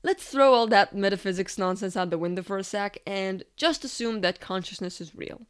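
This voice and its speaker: A woman narrates an analytical video essay in a mid-pitched voice. She explains ideas in a scripted, deliberate way, posing rhetorical questions and pausing for weight.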